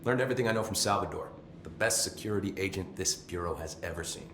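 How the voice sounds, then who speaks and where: An actor playing a chatty, nervy, loyal maintenance security chief in a video game, rambling informally with wry swearing. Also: male voice, low voice, quick pace, echoey room, noisy background. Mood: wry, candid.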